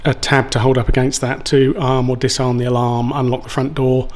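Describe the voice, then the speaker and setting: A man's voice: mid-range voice, level tone, fairly quick, darkish tone. A man giving a casual product review, explaining things informally and a little hesitantly. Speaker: product reviewer and home renovator.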